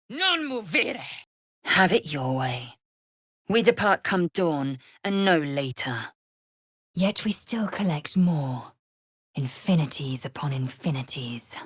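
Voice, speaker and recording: A woman voicing a proud, fierce, imperious githyanki warrior in a video game, with a husky, raspy voice and a clipped, haughty, formal manner. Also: deep voice, fairly bright tone, dry recording, clean studio sound, full character voice. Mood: fierce, battle cry. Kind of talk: game voice acting, short scripted lines.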